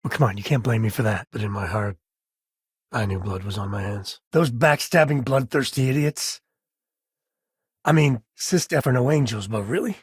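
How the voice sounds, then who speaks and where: A rugged explorer from the space frontier for a video game. His voice is very husky and sits at an ordinary male pitch. He talks in a folksy, wry, plain-spoken way, recorded close and dry. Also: normal speed, dry recording. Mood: warm, relaxed, then bitter, indignant. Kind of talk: game character dialogue.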